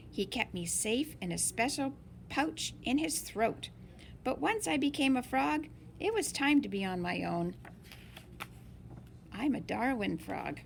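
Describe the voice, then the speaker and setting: A woman reading a picture book aloud in an expressive, deliberate way for young listeners. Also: on the high side, vocal fry, a little hiss or hum. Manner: slow, deliberate, animated phrasing for young listeners. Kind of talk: expressive children's read-aloud.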